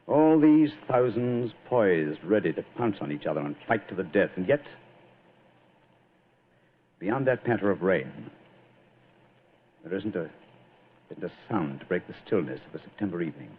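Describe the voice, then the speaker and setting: An actor playing a famous English detective in a vintage radio drama, speaking in a measured, courteous, thoughtful way with an English accent. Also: male voice, on the low side, breathy edge. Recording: muffled, noisy old radio recording.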